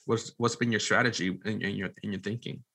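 A man in casual podcast conversation, talking in a halting, rambling way and often restarting his phrases. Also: on the low side, steady tone, creaky, sharp tone, slightly rough. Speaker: podcast guest and media producer. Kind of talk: casual conversational interview talk.

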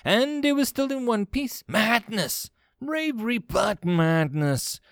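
An imperious alien fleet commander from a sci-fi audio story, acted out by a man. He is pompous and snarling, quick to lose patience, and his pitch leaps high above normal talk in big swings. The sound is close and dry. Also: big pitch swings, smooth, no echo. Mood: incredulous, irritable. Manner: pompous, snarling, easily exasperated.

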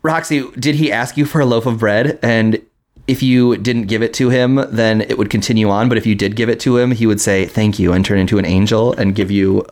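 A man's voice: on the low side, steady tone, fairly quick, darkish tone. An American man chatting casually as a podcast host, joking and riffing playfully. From Minneapolis, United States. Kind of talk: casual comedic chat.

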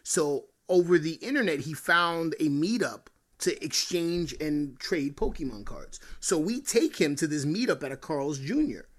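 A man hosting a podcast in casual chat, blunt and quick to chime in with agreement. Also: on the high side, lively intonation. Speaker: podcast host.

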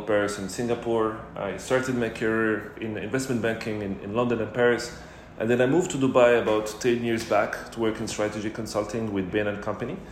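A man's voice: on the low side, roomy sound, slight background noise. A man from Morocco, a startup co-founder, telling his career story fluently as a podcast guest. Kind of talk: casual interview.

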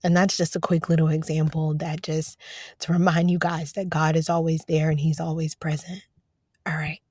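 A woman hosting a devotional podcast, preaching casually from her parked car, talking to listeners as y'all, her voice going a little raspy. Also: sits low, level tone, normal speed, a little mellow, no echo, studio quality. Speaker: podcast host.

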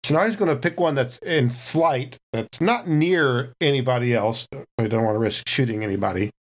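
A man at a tabletop game talks in a middle-pitched voice. He casually explains what his character does, pausing and restarting in deliberate phrases.

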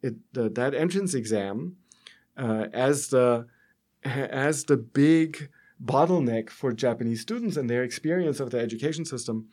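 A man's voice: mid-range voice, darkish tone. Middle-aged German-accented man explaining academically. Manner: halting, often checks with "right?". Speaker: academic guest expert. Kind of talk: interview, explanatory academic discussion.

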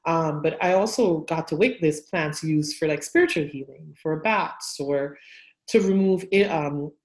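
A woman's voice: low voice, measured pace, darkish tone, reverberant, poor audio. A woman from Guyana, a guest herbalist and spiritual healer, telling her story thoughtfully and unhurriedly with frequent ums. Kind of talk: relaxed interview storytelling.

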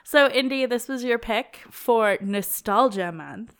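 A woman's voice: on the high side, measured pace, a bit bright, clear, resonant tone. Throaty woman with a cold. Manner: easygoing, laughs often. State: sick with a cold, throaty, sniffly. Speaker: podcast co-host. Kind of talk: casual movie chat.

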